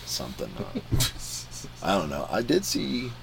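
A man's voice: medium pitch, wildly swooping pitch, unhurried, gravelly. A man hosting a casual podcast, joking around and acting out quotes in silly voices. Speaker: podcast host. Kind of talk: casual chat.

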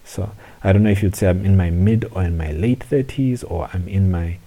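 A man's voice: sits low, brisk, mellow. A man, hosting a solo podcast and speaking reflectively, with frequent restarts and hesitations. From South Africa. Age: 30s. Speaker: podcast host.

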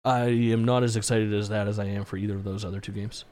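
A man's voice: lowish voice, level tone, quick pace, darkish tone. A man in his twenties co-hosting a gaming podcast, chatting casually with plenty of swearing and easy laughter. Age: 20s. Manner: laid-back, swears often, laughs easily. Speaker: podcast co-host. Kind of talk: casual chat.

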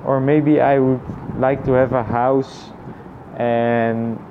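A man's voice: medium pitch, level tone. Man vlogging over dull, noisy lo-fi audio. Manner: halting, thinking aloud with repeated phrases. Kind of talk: casual reflective monologue, personal vlog.

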